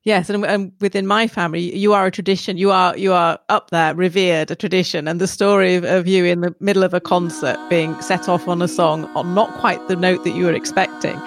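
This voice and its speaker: A woman's voice: fairly low pitch, steady tone, fairly quick, hardly any pauses, no echo. A woman podcast host in conversation, reflective and hesitant, often breaking off mid-thought. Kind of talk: conversational interview.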